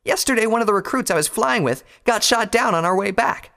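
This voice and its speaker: A man's voice: sits high, wildly swooping pitch, quick pace, fairly bright tone, voice pushed up, exaggerated voice. A man voicing a brash, boastful young female pegasus pony fighter in a heated manner for a story reading. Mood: angry. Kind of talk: dramatised fan fiction reading.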